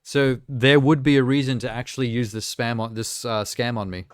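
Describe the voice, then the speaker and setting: Clear-voiced man chatting on a live stream. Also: mid-range voice, fairly even intonation, moderate pace. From South Africa. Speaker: live streamer reacting to videos. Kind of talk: casual live-stream chat, off-the-cuff reaction.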